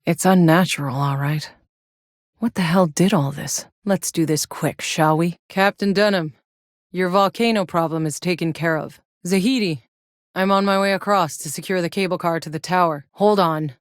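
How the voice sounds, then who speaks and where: A woman's voice: on the low side, moderate pace, no room sound, studio quality, close to an everyday voice. An actress playing a tough, sardonic, battle-hardened soldier in a sci-fi video game, blunt and wry in her delivery. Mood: wry, businesslike. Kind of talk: scripted video game character voice lines.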